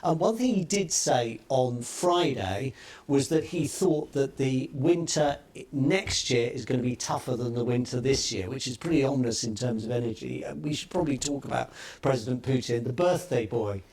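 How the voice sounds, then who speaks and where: A man's voice: medium pitch, moderate pace, darkish tone. A man presenting a current affairs panel discussion, conversational as he steers the talk and interjects.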